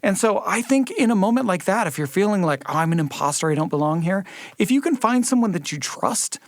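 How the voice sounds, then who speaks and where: A man's voice: sits high, expressive, fairly quick, no echo, clean studio sound. A man co-hosting a podcast, speaking in a conversational, reflective way. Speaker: podcast co-host. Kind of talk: conversational talk and credit reading.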